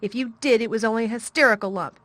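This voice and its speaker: A woman's voice: mid-range voice, normal speed, a bit bright, no room sound, lightly acted. A woman voicing a fierce, bossy little girl in a temper, scolding and commanding. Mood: furious.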